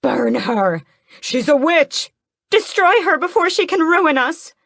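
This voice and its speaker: Lady doing a villager screaming high and shrill, whipping up the mob. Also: sing-song, slow pace, gravelly, no echo, clean studio sound, exaggerated voice. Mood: frenzied. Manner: shrill shouting. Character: frenzied villager in a mob, shrieking accusations.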